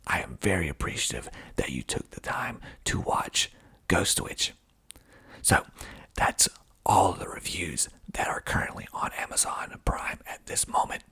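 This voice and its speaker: A man speaking in a breathy, husky ASMR whisper, reading aloud and adding deliberate, dry asides. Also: sits low, animated, sharp tone. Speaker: filmmaker hosting his own video.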